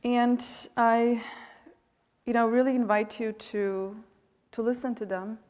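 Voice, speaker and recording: Bosnian-accented woman, 40s, panel speaker, steady monotone delivery, heartfelt with many pauses, long hall reverb. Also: on the high side. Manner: heartfelt, hesitant, many fillers and restarts. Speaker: panelist, nonprofit director. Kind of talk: earnest public remarks.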